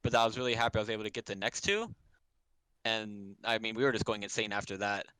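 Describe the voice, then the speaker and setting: A man's voice: lowish voice, fast talker, sharp tone, smooth, no room sound, poor audio. A man in his twenties, a college esports player, chatting casually after a match with lots of "like". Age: 20s. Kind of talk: post-match interview.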